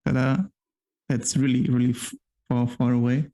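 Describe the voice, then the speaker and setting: Guy, bit of a fry in the voice, rambly explainer on a chill podcast. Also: medium pitch, little pitch movement, normal speed, warm tone. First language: Slovak. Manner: explains at length with many restarts. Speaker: podcast co-host. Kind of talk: casual chat.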